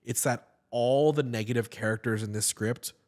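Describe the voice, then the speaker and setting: A man in his thirties, a guest on a podcast, talking casually in a studio with a boom mic, animated and full of restarts. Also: normal speed. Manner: animated, gesturing, with many repeated words and restarts. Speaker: podcast guest, actor and comedian. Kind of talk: casual interview chat. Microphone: studio mic on a boom stand.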